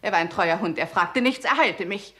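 A woman's voice: mid-range voice, moderate pace, a bit bright, lightly acted. A woman playing an embittered, weary and defiant film star confessing to murder in a 1960s German radio crime drama, with a theatrical, sarcastic edge. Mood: hateful, confessing.

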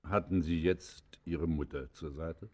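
An actor playing a grave listener who questions a confessor in a German radio play, formal, measured and probing. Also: male voice, very deep voice, fairly even intonation, darkish tone. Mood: grave, attentive.

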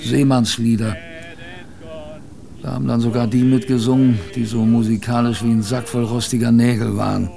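A man playing a plainspoken expedition survivor, telling his story in a wry, colloquial, gravelly radio drama narration. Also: medium pitch, flat delivery, moderate pace, mellow, close to an everyday voice. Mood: wry, reflective. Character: plainspoken male polar expedition survivor recalling hardship, wry.

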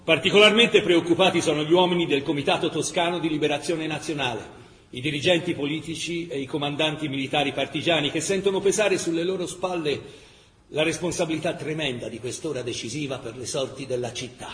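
A man narrating a dramatic historical chronicle in emphatic, measured radio-theatre style. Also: on the high side, a bit bright. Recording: echoey reverberant hall, faint noise.

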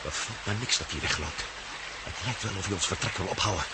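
An actor in a radio play as a suspicious, sceptical adventurer on the run. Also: male voice, sits low, fast, lightly acted. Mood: wary. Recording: noisy radio drama recording.

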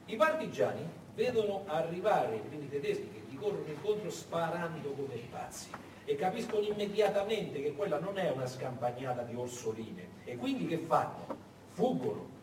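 A man performing a dramatic narrative monologue, full of rhetorical questions and emphatic repetition, as a stage storyteller. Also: a little high. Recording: echoey distant theatre recording, faint noise.

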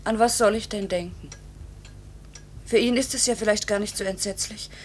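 Anguished wife played by a woman, mid-pitched, earnest pleading, quietly bitter, 1950s radio play, faint hum. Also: natural voice. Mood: despairing. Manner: earnest, repetitive, measured phrases. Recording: faintly noisy vintage radio recording.

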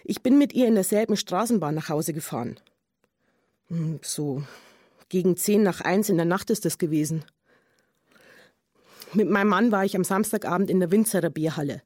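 A woman's voice: on the low side, moderate pace, pauses often, dark tone, no room sound, studio quality, lightly acted. An actress playing an earnest married Munich woman giving testimony in a radio drama, chatty and emphatic, with a Bavarian accent. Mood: earnest, insistent. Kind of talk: radio drama witness monologue.

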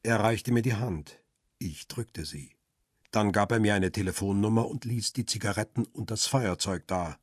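Weary paparazzo narrating a crime radio play, very raspy low voice, close and dry. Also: male voice, moderate pace, no echo. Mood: reflective. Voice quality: husky, rough. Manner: reflective, terse first-person narration. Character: wary, world-weary male paparazzo telling his own story.

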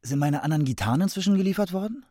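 A man's voice: high-pitched, animated, rapid-fire, dark tone. A man acting a confused young rock guitarist in a German radio play, hesitant, stammering and repeating his words. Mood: muddled, vague.

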